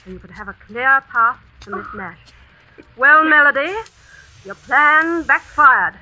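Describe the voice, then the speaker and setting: An actress playing a cold, scheming schoolmistress in a vintage radio suspense drama, deliberate and sardonic in manner. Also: female voice, sits high, animated, bright, forceful tone, dry recording, exaggerated voice. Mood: bitter, mocking. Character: cold, commanding schoolmistress, accusing and scheming, secretly a murderess. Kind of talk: 1940s radio suspense acting. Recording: noisy old radio drama recording.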